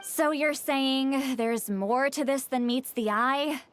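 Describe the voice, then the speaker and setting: A woman's voice: sits high, slow pace, slight background noise. An actress playing a cocky, boastful young woman warrior in an anime-style video game, with a haughty, theatrical delivery. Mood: dismissive, puzzled. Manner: haughty, swaggering, dramatic. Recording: game music behind.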